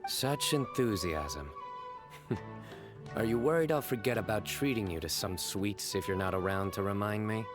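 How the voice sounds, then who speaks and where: A man voicing a stern young investigator who speaks in a measured, deadpan way. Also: fairly low pitch, over music, natural voice. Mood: dry teasing. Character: stern young male desert judge, dry and serious.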